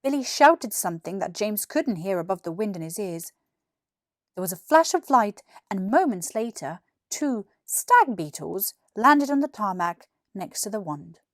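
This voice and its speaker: British female storyteller, twenties, scripted children's narration, lively intonation, dry sound. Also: medium pitch, unhurried, no echo. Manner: clearly articulated, animated. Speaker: actor reading a children's story. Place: small furnished room indoors. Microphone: lapel mic.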